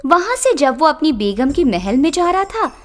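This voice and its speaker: A woman's voice: sits high, very animated, normal speed. A woman narrating a scripted story for children. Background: background music.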